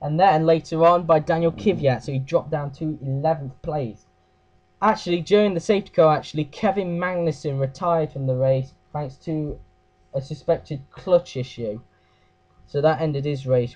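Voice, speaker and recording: A man with a croaky voice giving a casual race recap, hesitant, with fillers and self-corrections. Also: fairly high pitch, moderate pace, a little mellow, poor audio. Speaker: race review host.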